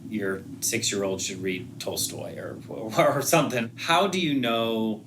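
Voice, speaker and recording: A man's voice: fairly low pitch, animated, unhurried, noisy background. A man hosting a podcast and interviewing his guests in a conversational way. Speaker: podcast host.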